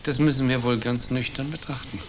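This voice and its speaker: A man's voice: mid-range voice, steady tone, fairly quick, a little hiss or hum, close to an everyday voice. A man playing a dry, manipulative investigator. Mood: deadpan. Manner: dry, matter-of-fact. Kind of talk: radio play acting.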